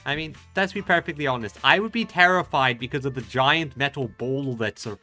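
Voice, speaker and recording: A man's voice: mid-range voice, fairly quick, sharp tone, soft background music. A man giving casual commentary as he plays through a game, talking off the cuff. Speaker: streamer playing and commenting on a game.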